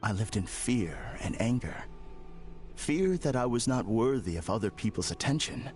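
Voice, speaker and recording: An actor playing an unsettlingly calm, formal villain in a game cutscene, speaking in a measured, courteous way. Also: male voice, mid-range voice, faint music behind, close to an everyday voice. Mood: serenely polite. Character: eerily serene polite man, unsettling villain. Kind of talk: video game cutscene dub.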